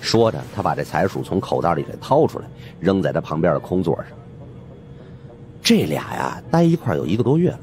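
A man's voice: sits low, very animated, a little fast, a little mellow, a little hiss or hum. A man narrating an audiobook as dramatic, scripted storytelling.

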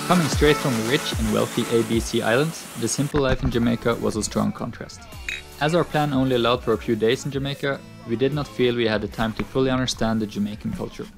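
A man's voice: mid-range voice, quick pace, vocal fry, music in the background. A man narrating a sailing vlog in a scripted voice-over.

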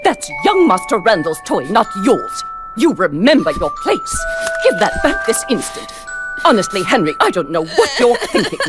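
An actress playing a stern housemaid who defers to her masters, in an animated game. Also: mid-range voice, normal speed. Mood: stern, scolding. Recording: music under the voice.

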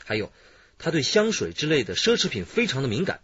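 Keen, raspy male investigator. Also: mid-range voice, wildly swooping pitch, brisk, fairly bright tone, heavily acted. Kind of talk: radio drama acting. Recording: dull lo-fi radio drama recording.

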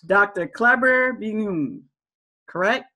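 A woman's voice: medium pitch, slow pace, bright, forceful tone. A middle-aged woman, interviewing conversationally as a talk show host on a video call. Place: indoor room.

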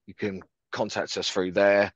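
A lively man talks casually as a podcast guest, cheerful and a little self-mocking. His voice sits on the low side, coming through a headset on a video call. The sound is dull and lo-fi, with no room echo. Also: unhurried. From France. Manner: upbeat, chatty, self-deprecating humour. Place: home office, video call. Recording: dull, slightly lo-fi call audio.

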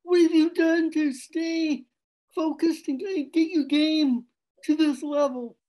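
Female sports reporter, high register with a dark, relaxed tone, posing press conference questions. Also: slow pace, low-quality recording.

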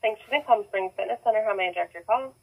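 A woman's voice: mid-range voice, rapid-fire, vocal fry, very noisy. A woman working as a gym receptionist, giving routine greetings over a tinny phone line.

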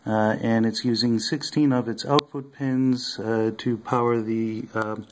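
A man casually showing his electronics project at a home workbench, talking haltingly with many ums and uhs. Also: medium pitch, steady tone, slight background noise. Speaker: hobbyist maker.

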